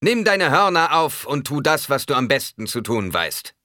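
A man voicing a fiery old desert preacher in an audiobook, proclaiming solemn warnings in a booming, declamatory way. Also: very animated, moderate pace, bright, forceful tone, no echo, studio quality. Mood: solemn, commanding.

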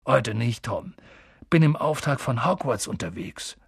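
A man's voice: medium pitch, animated, measured pace, gravelly, husky, heavily acted. A man voicing a big, kindly, protective fellow who talks in casual clipped phrases, in an audiobook. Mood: friendly, businesslike. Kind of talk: audiobook character voice.

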